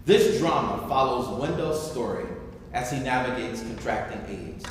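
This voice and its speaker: A distant man's voice in a heavily echoing hall hosts a staged reading. He speaks at a medium pitch as narrator and presenter, over faint noise. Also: expressive, normal speed. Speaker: host reading stage directions. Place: large echoey room before a live stream. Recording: echoey hall, far mic, faint noise.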